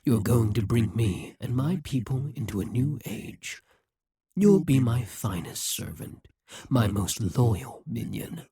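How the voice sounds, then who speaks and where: A man voicing a possessive, scheming demon king in an audio roleplay, speaking slowly and condescendingly. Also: medium pitch, lively intonation, warm tone, breathy edge, no room sound, studio quality, full character voice. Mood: brooding. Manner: condescending, slow and musing.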